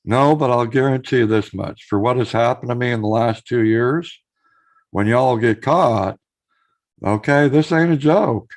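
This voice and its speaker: Hoarse, gravelly-voiced male live streamer. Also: mid-range voice, a little slow, a little mellow. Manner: chatty, reads out viewer messages and greets them by name. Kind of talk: casual live stream chat with viewers.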